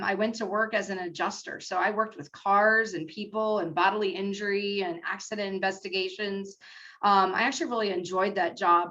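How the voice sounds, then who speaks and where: A middle-aged woman speaks on a career panel over a video call, earnest and a little halting, leaning on ums as she goes. The audio is dull and lo-fi, with a medium-pitched voice. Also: a bit bright. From South Florida. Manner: earnest, hesitant with many fillers. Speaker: school district HR manager, panelist.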